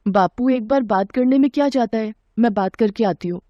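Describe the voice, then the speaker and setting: A woman's voice: on the high side, normal speed, mellow, no echo, highs cut off, close to an everyday voice. A woman voicing a poor, determined young girl drummer in a story, speaking politely and pleadingly.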